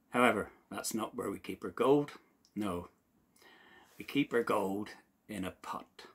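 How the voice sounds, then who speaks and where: A man doing an indignant gravelly little leprechaun. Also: on the low side, takes it slowly, long pauses, full character voice. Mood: gleeful. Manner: scolding, raised and blustery. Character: cheeky indignant little male leprechaun shoemaker.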